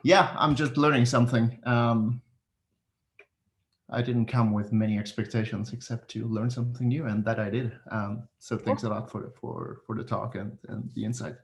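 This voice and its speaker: A man's voice: mid-range voice, flat delivery, moderate pace, vocal fry. A man from Switzerland, a seminar attendee introducing himself modestly on a video call.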